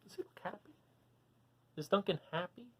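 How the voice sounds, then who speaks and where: A woman reading a picture book aloud for children, animated and often stopping to ask the listener questions. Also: mid-range voice, normal speed, breathy edge. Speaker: storybook reader for children.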